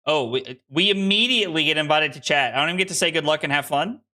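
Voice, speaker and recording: A man's voice: fairly high pitch, quick pace, sharp tone. A man in his late twenties, a card game streamer, chatting casually with viewers while he plays and rambling with lots of restarts. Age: 20s. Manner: rambling and self-deprecating, frequent restarts.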